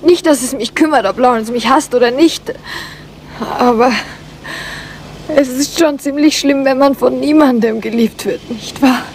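An actress playing a forlorn, anxious young gentlewoman who feels unloved, in a German radio crime drama. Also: high-pitched, a little slow, slight background noise. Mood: forlorn, hurt.

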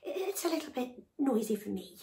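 A woman's voice: high voice, very animated, moderate pace, warm tone, slight background noise, voice pushed up. A woman voicing a timid little male elephant who stammers and hesitates. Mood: startled, hesitant.

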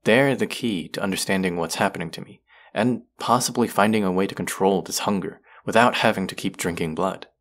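Give the low, gravelly voice of a young male vampire, acted by a man in an intimate audio drama. He speaks earnestly, with a wry and protective streak. His deep register crackles with vocal fry. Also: fairly quick, few pauses. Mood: earnest pleading.

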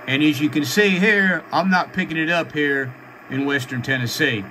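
A man in his sixties with an American accent, explaining informally to camera in a halting, matter-of-fact way. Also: fairly high pitch, pushed and bright, a little hiss or hum, low-quality recording. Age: 60s. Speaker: ham radio vlogger. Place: small home radio room, indoors.

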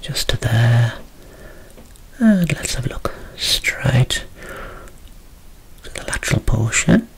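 A man in his thirties doing a soft-spoken ASMR medical roleplay as a doctor, with a reassuring clinical bedside manner, close up in a small room. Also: mid-range voice, very animated, slow pace, pauses often, slightly rough, low-quality recording. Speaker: ASMR creator acting as a doctor.

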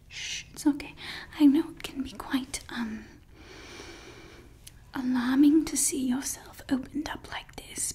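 A young woman in an ASMR roleplay voicing a doting yet menacing evil scientist, speaking in soft, close, sweetly possessive whispers into a close mic. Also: high-pitched. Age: young adult. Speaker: ASMR creator. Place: indoors. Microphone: close studio mic.

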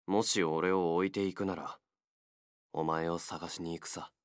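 An actor playing a wary, feral young man in a game, a gruff loner fighter with blunt, halting speech. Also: deep voice, steady tone, no echo, studio quality. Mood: subdued, earnest. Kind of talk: Japanese game character voice acting.

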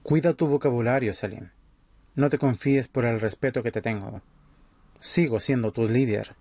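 A man playing an authoritarian vampire leader in an audio roleplay, speaking in a measured, condescending way. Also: mid-range voice, darkish tone, close to an everyday voice. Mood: stern, condescending. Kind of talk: ASMR audio roleplay acting.

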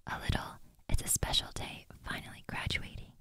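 Woman voicing an excited young male bee, all in a whisper, ASMR reading. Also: fairly quick, close to an everyday voice.

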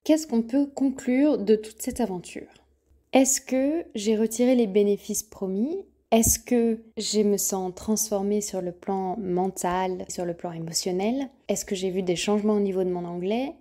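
French-speaking woman thinking aloud, mellow voice. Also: medium pitch, a little slow. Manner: thinking aloud, many fillers and restarts. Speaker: podcast host. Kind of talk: solo podcast monologue.

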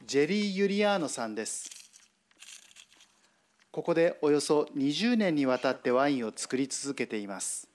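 A man's voice: a little high, very animated, normal speed, long pauses, a little mellow. A man reading scripted narration for a television news report as its reporter. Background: background music.

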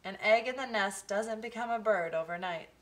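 A woman reading a children's picture book aloud, with expressive, deliberate phrasing. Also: medium pitch, poor audio. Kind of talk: storybook read-aloud for children.

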